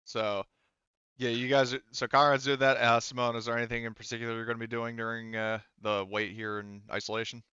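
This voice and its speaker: Male game master, crisp voice, halting improvised narration, dry, slightly muffled recording. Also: mid-range voice, flat delivery, sharp tone, dry recording. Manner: halting, hesitant phrasing, repeats "you know" and "like". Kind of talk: running a tabletop roleplaying game, unscripted narration.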